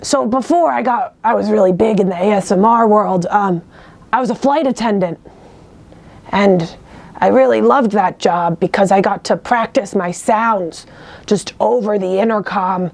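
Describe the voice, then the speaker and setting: A woman voicing an awkward young wannabe ASMR vlogger who talks in a hesitant, halting, oblivious way. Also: mid-range voice, gravelly, a little mellow, slight background noise, natural voice. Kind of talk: comedic character monologue.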